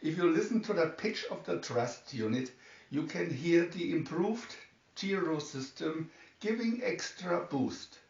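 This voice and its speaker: A man's voice: a little high, expressive, unhurried, gravelly. An earnest, brainy male expert acted by a man reading aloud explains things politely, as in an adventure storybook. The sound is roomy, a little distant and slightly dull.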